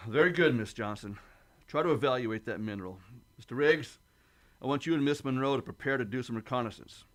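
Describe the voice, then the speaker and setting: A man playing a gruff, stoic starship captain in a science fiction radio play, giving clipped, authoritative orders. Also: medium pitch, fairly quick, a bit bright, lightly acted. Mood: commanding.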